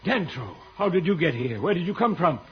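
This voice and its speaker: An actor playing a formal, blustering, duty-bound fleet admiral in a 1950s radio serial. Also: male voice, fairly high pitch, very animated, a little fast, very noisy. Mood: incredulous. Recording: muffled old radio transcription, music behind.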